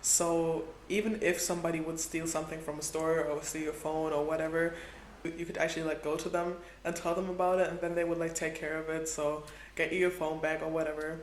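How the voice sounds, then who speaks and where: Young male travel vlogger, high and fairly flat delivery, echoey room, faint background hum. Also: brisk. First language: Portuguese is his second language. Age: 20s. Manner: chatty, heavy use of "like". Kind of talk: casual travel vlog.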